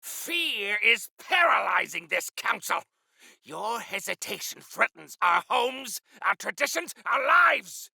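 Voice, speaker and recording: A feisty old clan warrior from a video game, with a high-pitched, gravelly voice that swings wildly in pitch. He is defiant and grandiose, speaking in archaic flourishes with a piercing, forceful edge. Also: big pitch swings, slow pace, dry recording, full character voice. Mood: defiant, fiery. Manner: theatrical, grandiose, archaic turns of phrase. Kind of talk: video game character acting.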